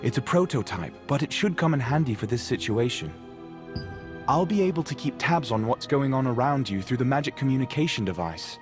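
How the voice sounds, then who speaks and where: An actor playing an earnest, studious mage investigator in a video game, speaking in a thoughtful, articulate way. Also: male voice, mid-range voice, animated, a little fast, music in the background, close to an everyday voice. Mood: focused, decisive.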